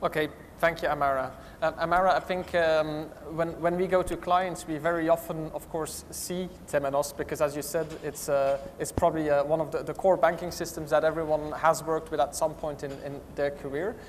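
A man's voice: fairly high pitch, steady tone, brisk, few pauses. A man moderating a conference panel, conversational and repeating words as he starts his questions. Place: large hotel conference hall. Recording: hall reverb with faint noise.

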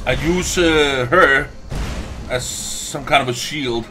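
A man's voice: mid-range voice, takes it slowly, sharp tone. Man doing noisy game commentary. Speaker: let's play host. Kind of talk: casual gameplay commentary. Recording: noisy, game ambience behind. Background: video game sounds.

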